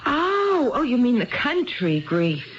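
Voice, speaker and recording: A woman's voice: fairly high pitch, wildly swooping pitch, slow, muffled recording, exaggerated voice. An actress playing an eager, chatty, apologetic housewife in a 1970s radio drama, rambling and hesitant as she repeats herself. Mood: curious, timid.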